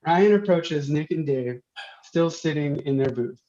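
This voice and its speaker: A man narrates stage directions from a script at a table read. His voice sits a little high, and the sound is dull and muffled, as on a video stream. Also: unhurried, dark tone.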